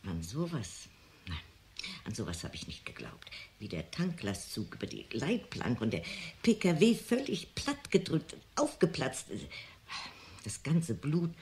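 An actress in a radio drama playing an enthusiastic amateur filmer, gushing and emphatic, at times dropping to a hush. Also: female voice, a bass voice, big pitch swings, unhurried, a little hiss or hum, heavily acted. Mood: hushed awe. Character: enthusiastic woman, amateur filmer of gruesome scenes.

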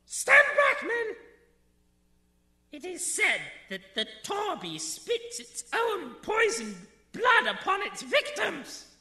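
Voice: very high-pitched, huge pitch swings, slow pace, pushed and bright, reverberant, exaggerated voice. An actor playing a melodramatic, menacing alien warrior chief in a radio drama, declaiming pompously. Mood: dire warning.

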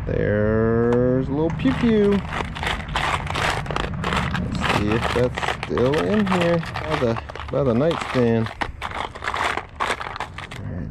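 A man picks through a storage unit and talks slowly, half to himself, perking up when he finds something good. The recording comes from a camera on his head outdoors. Loud noise sits under his voice. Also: medium pitch, creaky. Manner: unhurried, muttering to himself, upbeat when finding items. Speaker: reseller sorting a storage unit. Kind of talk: casual running commentary while digging. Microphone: head-mounted camera, point of view.

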